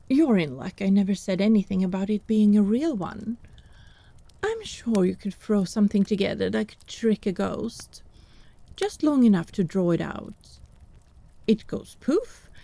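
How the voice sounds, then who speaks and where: A woman's voice: medium pitch, creaky, warm tone, no room sound. A woman playing a wry, irreverent witch and healer in a fantasy audio drama, wordy and sardonic. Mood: wry, teasing. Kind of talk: fantasy audio roleplay acting.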